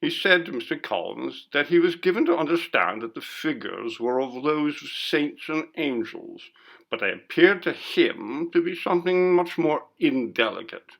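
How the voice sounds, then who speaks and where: Guy doing a curt stuffy old-time bursar, ghost story reading, creaky voice, very animated, disapproving. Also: a little high, normal speed, pitched above the performer's own voice. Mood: indignant. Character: curt, pompous, complaining college bursar, male.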